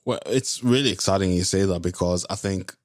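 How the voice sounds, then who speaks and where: A man's voice: low-pitched, fairly quick, dry recording, clean studio sound. A man co-hosting a podcast, speaking in an easygoing, thoughtful way. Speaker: podcast co-host. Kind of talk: casual chat.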